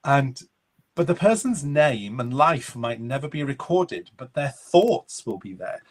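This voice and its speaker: A Welsh man with a mid-range voice, a native Welsh speaker, answers interview questions as a guest author. He speaks thoughtfully and measures his words, with small pauses while he searches for the right phrase. The delivery is conversational and unhurried. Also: medium pitch, sing-song. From Wales.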